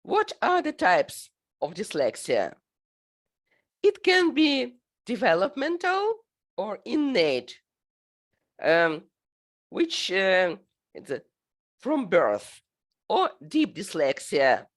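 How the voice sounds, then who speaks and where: A middle-aged woman from Ukraine with a Ukrainian accent, a native Russian speaker, giving an academic presentation in a halting way, full of fillers. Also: mid-range voice, wildly swooping pitch.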